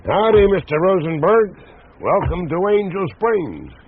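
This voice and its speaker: An actor playing a hearty, hospitable small-town American boss in a radio drama, husky, with a folksy, hesitant manner. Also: male voice, high-pitched, sing-song, measured pace, phone-quality sound, a little hiss or hum, heavily acted. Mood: hearty, welcoming.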